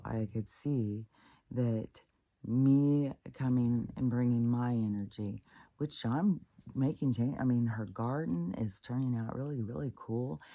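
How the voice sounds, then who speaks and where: A middle-aged woman talks in a loose, meandering way, full of fillers, restarts and casual swearing. Her nose is stuffed up, and her voice has a mellow, relaxed tone. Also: extremely low pitch. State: congested, stuffed up. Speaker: spiritual vlogger. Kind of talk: rambling personal monologue.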